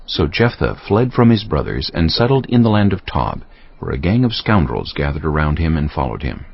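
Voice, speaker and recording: A man with a gravelly voice giving a dramatic scripted narration of scripture. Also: rumbling low, sing-song, moderate pace. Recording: dull band-limited audio, faint music underneath. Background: faint music.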